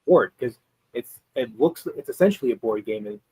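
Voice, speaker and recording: A man's voice: medium pitch, expressive, moderate pace, mellow, husky, dry recording, poor audio. A man appearing as a guest expert, explaining history in a conversational way with frequent ums and restarts. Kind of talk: explaining history in casual chat.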